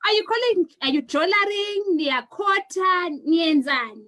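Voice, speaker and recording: A woman's voice: extremely high pitch, animated, measured pace, sharp tone. A woman hosting a casual video-call chat, playful and calling her guests honey. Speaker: host.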